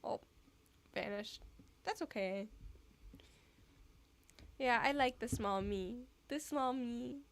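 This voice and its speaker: Slow, giggly woman streamer. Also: on the high side. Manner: giggly, rambling, soft-spoken. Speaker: live streamer. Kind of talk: casual stream chat with viewers.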